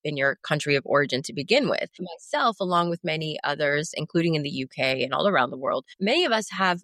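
A woman's voice: low-pitched, expressive, fast talker, a bit bright, no echo, studio quality. A woman in her forties, born in Afghanistan and raised elsewhere, answering interview questions in a reflective, thoughtful way. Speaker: guest.

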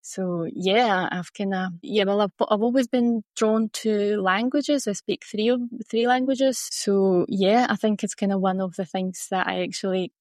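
A woman's voice: mid-range voice, fairly even intonation, a little slow, hardly any pauses, darkish tone, no room sound, clean studio sound. A woman from Russia, a native Russian speaker with a Glaswegian accent, chatting casually and telling personal stories as an interview guest. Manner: chatty, laughs often while talking.

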